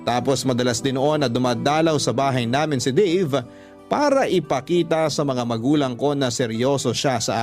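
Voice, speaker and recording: A man's voice: medium pitch, a little mellow. A man narrating a scripted first-person love story as a radio storyteller reading a letter. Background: faint music.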